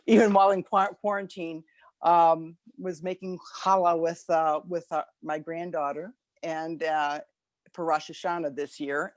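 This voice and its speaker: An older woman telling personal memories informally, in a halting way with repeated and restarted phrases. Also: deep voice, no room sound. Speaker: speaker at a community gathering.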